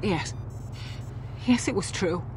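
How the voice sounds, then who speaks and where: An actress playing a wronged, anxious wife giving reluctant, emotional testimony in a video game courtroom. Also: female voice, mid-range voice, slow pace, background noise, lightly acted. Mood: reluctant, subdued. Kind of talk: dramatic video game voice acting.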